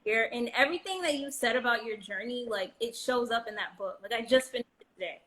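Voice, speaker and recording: A woman in her late twenties with a fairly high voice, talking openly and warmly about her work as an interview guest. She rambles loosely, full of likes and restarts. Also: fast, low-quality recording. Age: 20s. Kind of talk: casual chat.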